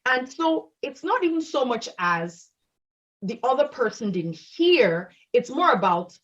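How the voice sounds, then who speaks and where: Woman, husky voice, reflective guest, dull lo-fi sound. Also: on the high side, sing-song, a little slow. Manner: reflective, talks to her spouse. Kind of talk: casual conversation.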